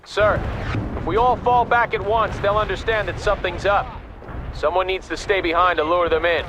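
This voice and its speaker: An actor playing an earnest, determined army captain in a video game war drama, loyal and intense. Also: male voice, high-pitched, fairly even intonation, normal speed, close to an everyday voice. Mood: resolute. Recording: battle noise and loud music behind.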